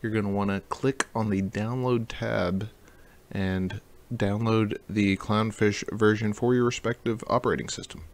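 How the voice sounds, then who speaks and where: Man, low pitch, gravelly creak, casual jokey tutorial host. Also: steady tone, unhurried. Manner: jokey, slangy. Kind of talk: casual software tutorial narration.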